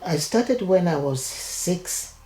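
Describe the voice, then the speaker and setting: An older woman from Uganda with a Ugandan accent, telling her life story in an interview and speaking in halting phrases with many restarts. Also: fairly low pitch, lively intonation, dark tone, reverberant. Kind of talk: oral history interview.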